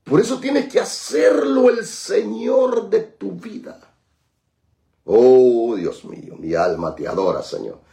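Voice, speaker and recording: Male preacher, high animated voice, call-and-response, drawn-out emphasis. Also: creaky. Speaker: pastor. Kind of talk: sermon.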